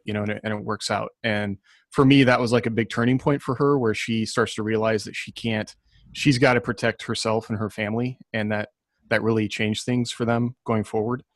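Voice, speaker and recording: A man speaking as an author on a casual discussion panel, reflective and halting as he thinks aloud. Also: on the low side, no room sound.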